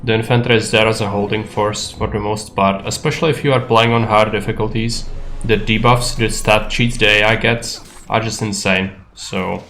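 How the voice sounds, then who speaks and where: Czech-accented man, streamer talking through a strategy game, low-pitched, monotone, blunt, faint music underneath. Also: normal speed. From Czech Republic. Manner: musing aloud, blunt and matter-of-fact. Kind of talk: casual gameplay commentary.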